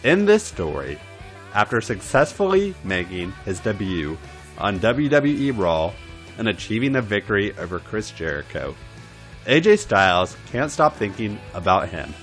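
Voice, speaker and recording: Male podcast narrator for erotic fan fiction: lowish pitch, hugely varied intonation, music bed. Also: big pitch swings, normal speed, a bit bright. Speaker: podcast host and story reader.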